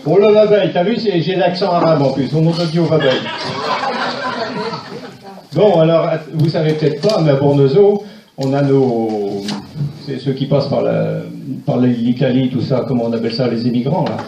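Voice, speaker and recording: A man's voice: mid-range voice, moderate pace, vocal fry, echoey room, recorded from a distance, muffled recording, a crowd in the background. A man hosting a party, teasing the audience and laughing as he introduces a sketch. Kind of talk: joking announcements to a crowd. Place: large echoey party hall.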